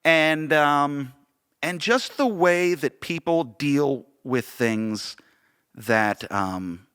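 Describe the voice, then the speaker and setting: A man's voice: on the high side, extremely slow, fairly bright tone, clear, resonant tone. A man with an American accent talking casually on a podcast as co-host, often searching for words and repeating phrases.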